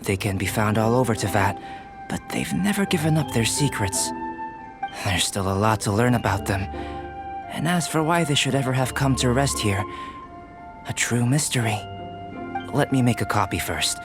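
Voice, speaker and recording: An actor playing a calm, curious young alchemist who speaks in a measured, precise, analytical way. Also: male voice, medium pitch, close to an everyday voice. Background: game music.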